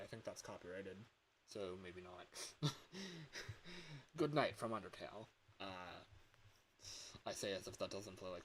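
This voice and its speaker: A man with a worn-out voice chatting casually on a livestream, halting and rambling as he comments on games. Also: lowish voice, fairly bright tone, slight background noise, poor audio. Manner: rambling, halting, breaks into chuckles.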